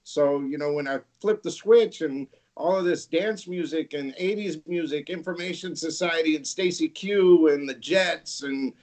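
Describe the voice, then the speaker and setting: A man's voice: fairly high pitch, moderate pace, few pauses, darkish tone. Laid-back middle-aged man telling stories. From Santa Barbara, California. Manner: laid-back, laughing, rambling anecdotes. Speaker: radio DJ guest. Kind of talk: casual interview storytelling.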